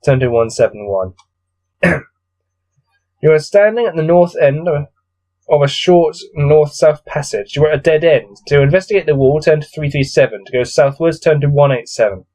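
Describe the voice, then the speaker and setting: A man's voice: a little high, normal speed, a little mellow, rough recording. A man with a cold coming on reads a gamebook aloud, adding weary, exasperated, off-the-cuff commentary. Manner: weary, grumbling, exasperated asides. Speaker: solo gamebook reader and commentator.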